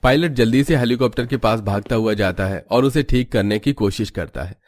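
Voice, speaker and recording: A man's voice: mid-range voice, brisk, a little mellow, no echo, clean studio sound. A man delivering scripted narration as the storyteller of a cartoon tale.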